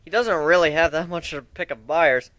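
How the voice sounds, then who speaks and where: Man playing a cocky, bright-voiced griffin adventurer. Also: on the high side, fairly quick, dry recording, close to an everyday voice. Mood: matter-of-fact. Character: cocky, slangy young male griffin adventurer. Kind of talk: amateur dramatic story reading.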